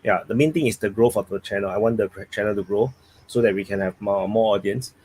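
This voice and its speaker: A man's voice: lowish voice, fast, a little mellow, a little hiss or hum, rough recording. A man with a Singaporean accent hosting a live stream, rambling and thinking aloud while he reads viewers' chat.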